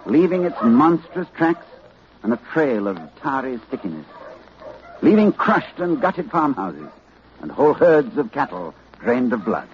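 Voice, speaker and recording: Grave, urgent doctor, sweeping intonation. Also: male voice, medium pitch, very animated. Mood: solemn, dread. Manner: urgent, dramatic, halting delivery. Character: grave, anxious learned doctor fighting a monster. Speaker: narrator and lead actor. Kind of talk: 1940s radio drama acting. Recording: muffled, noisy old radio recording.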